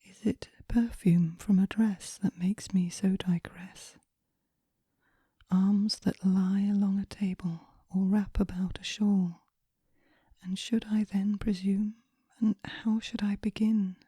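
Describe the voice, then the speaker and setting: A woman recites poetry in a hushed, breathy whisper meant for relaxation. Her delivery is gentle and unhurried, with very little rise and fall. The tone is extremely soft and dark, captured close and dry. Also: mid-range voice, dry recording. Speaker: poetry reader.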